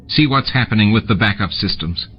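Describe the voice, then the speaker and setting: A man voicing a composed male station commander in an audiobook, measured and authoritative. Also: on the low side, lo-fi sound, faint music behind, lightly acted. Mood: commanding. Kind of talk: audiobook character dialogue.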